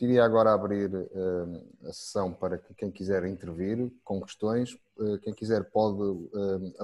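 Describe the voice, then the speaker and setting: Male moderator, low husky voice, formal and courteous, on a video call. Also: darkish tone. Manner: polite, formal, measured. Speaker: session moderator. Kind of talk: moderating a webinar.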